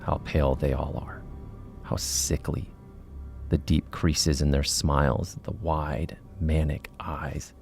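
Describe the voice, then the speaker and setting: Uneasy male investigator, low pitch, confiding suspense narration, audio drama, music underneath. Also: level tone, slow pace, gravelly, darkish tone, full character voice. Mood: uneasy, suspenseful.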